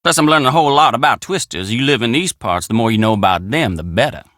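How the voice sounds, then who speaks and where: A man's voice: mid-range voice, animated, fast, fairly bright tone, no room sound, clean studio sound, close to an everyday voice. An actor playing a friendly, plainspoken young country handyman with an American drawl in a video game. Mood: easygoing, matter-of-fact. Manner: folksy, plainspoken country phrasing. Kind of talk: video game character dialogue.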